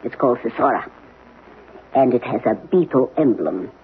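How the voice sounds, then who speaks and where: An actor playing a calm, frank psychiatrist in a 1970s radio drama, talking in a measured, probing way. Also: male voice, mid-range voice, breathy edge. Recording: lo-fi 1970s radio broadcast, noisy.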